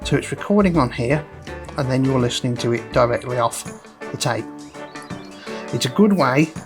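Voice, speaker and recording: A man's voice: mid-range voice, expressive, moderate pace, over music. Man giving a casual hands-on demonstration. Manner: explains each step as they work. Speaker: host of a vintage audio repair video.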